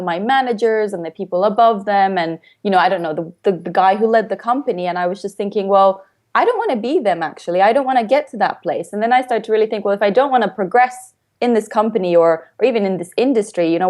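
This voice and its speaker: A woman's voice: medium pitch, expressive, quick pace, darkish tone. A woman from Finland with an international upbringing, explaining things casually and chattily as an interview guest and coach.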